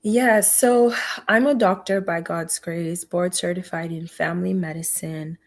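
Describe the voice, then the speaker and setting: A woman's voice: fairly low pitch, fairly even intonation, a little slow. A middle-aged woman from Cameroon, a physician, telling her life story in an interview in an earnest, faith-filled way. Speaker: guest, physician and founder.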